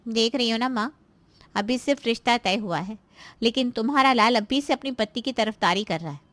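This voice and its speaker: A scheming married daughter from a cartoon family drama, acted by a woman, pitched fairly high. She nags, complains and insinuates with open spite. The sound is close and dry, with no room around it. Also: moderate pace, a bit bright, dry recording, close to an everyday voice. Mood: insinuating, warning. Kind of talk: Hindi moral-story cartoon voice acting.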